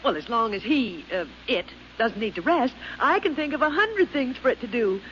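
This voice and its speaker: A bossy but cheerful American housewife in a fifties radio comedy. Her pitch rises and falls freely as she coaxes and insists. The recording is muffled and faintly noisy. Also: sing-song, a bit bright. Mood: eager. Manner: brisk, insistent, lightly coaxing. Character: cheerfully practical, bossy housewife, keen on free help. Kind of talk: 1950s radio comedy acting.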